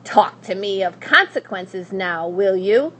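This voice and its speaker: A woman's voice: mid-range voice, expressive, pushed and bright, a little hiss or hum. A woman voicing a cold, menacing storybook witch, stern and disdainful, drawing out her words. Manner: slow, drawn-out, disdainful.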